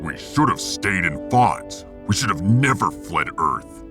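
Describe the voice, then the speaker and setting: Man as combative starship security officer, bitter, low-pitched, hard-edged husky delivery, sci-fi audio drama, music underneath. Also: big pitch swings, measured pace. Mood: bitter, defiant.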